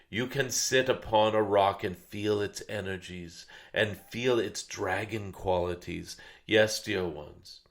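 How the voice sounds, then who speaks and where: Casting: male performer as an ancient, benevolent dragon spirit; lowish pitch, very unhurried, solemn channeled address with pensive hums. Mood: gentle, wondering. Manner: measured, deliberate, frequent pauses and thoughtful hums.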